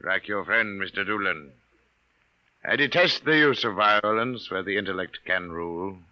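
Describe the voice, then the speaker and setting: A man's voice: on the low side, moderate pace, dry recording, lightly acted. A man playing an aloof Venusian of about sixty who speaks formally and imperiously in a 1950s radio drama. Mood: stern. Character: tall, aloof sixty-year-old male Venusian rescuer. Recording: muffled vintage 1950s radio recording.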